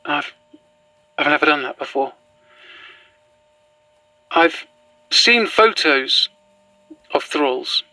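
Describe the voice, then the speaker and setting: An actress playing an exhausted, shaken young archivist in a horror audio drama, hesitant and stubborn. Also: female voice, deep voice, lively intonation, extremely slow, pauses often, sharp tone, no room sound, full character voice, poor audio. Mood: weary, shaken. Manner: hesitant, stammering, sighing. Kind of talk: found-footage horror audio drama acting.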